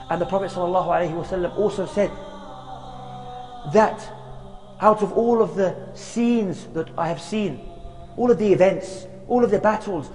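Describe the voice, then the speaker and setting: A man giving an earnest sermon outdoors in a graveyard, speaking slowly and chanting short recitations. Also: high voice, mellow, long reverb tail, low-quality recording. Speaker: preacher giving a short reminder.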